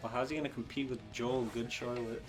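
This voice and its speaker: A man co-hosting a comedy podcast with casual, irreverent, sweary banter, excitable and halting. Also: mid-range voice, music in the background. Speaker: podcast co-host.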